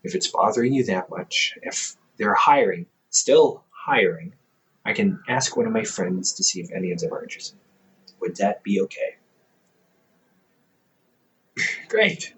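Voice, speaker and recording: A man's voice: on the low side, sing-song, measured pace, long pauses, a bit bright, slight background noise, heavily acted, rough recording. A man voicing a playful young adult older brother who works as a company therapist, teasing the listener and laughing often in an audio roleplay.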